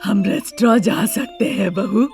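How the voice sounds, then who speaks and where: Woman acting as older mother-in-law, gravelly, medium pitch, practical, sceptical, story character, faint music. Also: normal speed, a little mellow, lightly acted. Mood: matter-of-fact.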